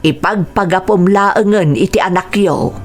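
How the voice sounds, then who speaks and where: Actress voicing older mother, stern, protective, slow low delivery, radio drama. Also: loud music behind the voice, natural voice. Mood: grave, advising.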